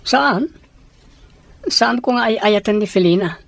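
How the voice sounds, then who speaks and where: Casting: actress as earnest, trusting grown child, mid-range pitch, audio drama delivery. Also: female voice, measured pace, creaky, background noise. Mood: earnest, reassuring. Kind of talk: Ilocano audio drama acting.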